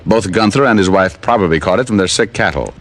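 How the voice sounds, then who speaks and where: A man's voice: sits low, fast, fairly bright tone, a little hiss or hum. An actor playing a dry, wisecracking male private detective who narrates a 1950s radio drama. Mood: wry. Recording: old radio broadcast with faint music.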